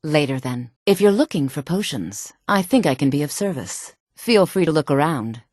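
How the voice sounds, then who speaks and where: Low-voiced sultry, self-assured fantasy woman. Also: lively intonation, darkish tone, no room sound, clean studio sound. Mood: cool, courteous. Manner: sultry, poised, measured, haughty. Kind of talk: video game character dialogue.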